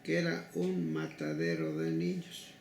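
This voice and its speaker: Older mexican guy telling old cases in an interview, super croaky voice, halting n deliberate, echoey room w some noise. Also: deep voice, sing-song, a little mellow. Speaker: interviewee, human rights legal advocate. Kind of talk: recounting past cases in an interview.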